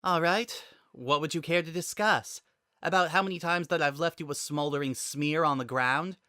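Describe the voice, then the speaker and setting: A man's voice: on the high side, fairly even intonation, moderate pace, sharp tone, dry recording, studio quality, pitched above the performer's own voice. A man voicing a defiant woman bounty hunter in a sci-fi dramatic reading, biting, sneering and sarcastic. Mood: sneering sarcasm.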